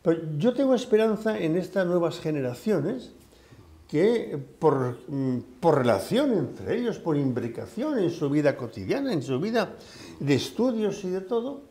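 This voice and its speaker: A man's voice: a little high, very animated, moderate pace, dark tone. An older man, a former professor, talking things over thoughtfully with many hesitations. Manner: thoughtful, with frequent fillers and hesitations. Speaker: retired professor. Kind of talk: conversational discussion.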